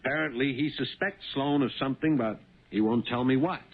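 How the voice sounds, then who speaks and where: An actor playing an uneasy, honest working-class cab driver in a 1970s radio drama. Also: male voice, mid-range voice, fairly quick, husky. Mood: eager. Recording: tinny, band-limited 1970s radio broadcast.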